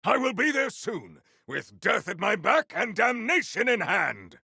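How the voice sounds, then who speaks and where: Proud warrior for a video game, super formal and grand, swoops up and down a lot, dry close sound. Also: male voice, high voice, big pitch swings, creaky, bright, forceful tone, breathy edge, dry recording, full character voice. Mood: grand, defiant. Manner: grandiose, stiffly formal, earnest, deliberate. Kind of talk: video game character voice lines.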